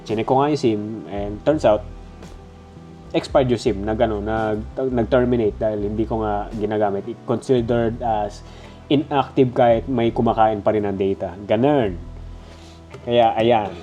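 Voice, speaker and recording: A man's voice: fairly low pitch, fairly even intonation, unhurried, mellow, dull audio, soft background music. A Filipino-accented man with a stuffy nose, giving a casual, conversational gadget review. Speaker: product reviewer.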